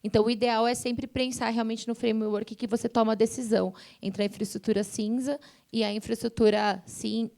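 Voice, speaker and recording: A Brazilian woman speaks as an expert panelist at a forum. Her voice sits on the high side, with noticeable vocal fry. She explains things step by step, dropping in frequent conversational tag words. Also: flat delivery, a little fast, warm tone. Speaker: environmental science panelist.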